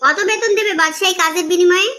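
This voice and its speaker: Woman acting shrill, demanding young cartoon wife. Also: quick pace, pushed and bright. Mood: cross, demanding. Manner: nagging, questioning. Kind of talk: Islamic moral-tale cartoon dubbing.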